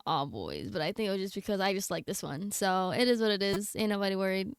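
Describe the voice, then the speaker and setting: A woman's voice: medium pitch, animated, quick pace, clear, resonant tone, no echo, clean studio sound. A young adult woman livestreamer chatting casually with her viewers in a slangy, blunt, candid way. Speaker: game livestreamer.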